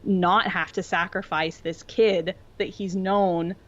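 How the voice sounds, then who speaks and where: A woman with a fairly low voice talks casually as a podcast co-host. She is earnest and thoughtful, reasoning aloud in informal language. The recording is a little dull and lo-fi. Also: fairly even intonation, slow pace. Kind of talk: casual discussion of fiction. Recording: dull lo-fi audio.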